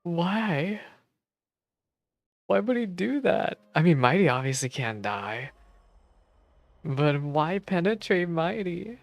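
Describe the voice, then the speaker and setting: A game streamer giving casual, expressive, exclamatory live commentary while playing. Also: mid-range voice, slow, long pauses. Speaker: streamer reacting to a game playthrough. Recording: game music behind the voice. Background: video game music.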